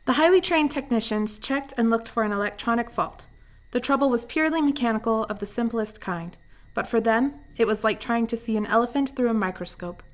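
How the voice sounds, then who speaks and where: A woman's voice: on the high side, a little fast. A woman reading scripted narration as the narrator of a radio drama.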